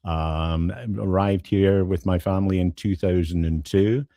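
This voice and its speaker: A middle-aged or older man with a Scottish accent, talking politely and with measured pauses as an interview guest. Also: low-pitched, fairly even intonation, dark tone, dry recording, clean studio sound. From Scotland. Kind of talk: conversational personal interview.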